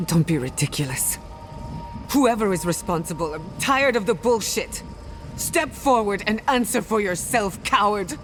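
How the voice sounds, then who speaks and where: A woman acts out a headstrong fantasy game heroine with a mid-pitched voice. She is intense and shaken, blunt in the way she searches for answers. Music runs behind her. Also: animated, measured pace, lightly acted. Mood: defiant. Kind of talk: video game character acting.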